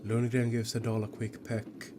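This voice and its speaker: Flat-voiced man narrating. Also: medium pitch, normal speed, background noise. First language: Swedish. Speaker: YouTube film analyst. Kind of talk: scripted narration. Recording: voice-over with guitar music behind.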